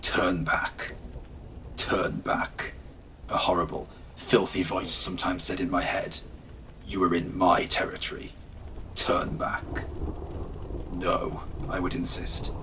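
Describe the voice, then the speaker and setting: A man telling first-person scary stories as scripted narration, hushed and ominous at times, livelier at others. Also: low-pitched, slight background noise. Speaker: storyteller. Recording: faint rain ambience behind.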